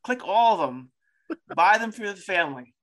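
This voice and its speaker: A man's voice: high voice, sing-song, moderate pace, a bit bright, poor audio. A man co-hosting a podcast in casual chat, playful and teasing. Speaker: podcast co-host.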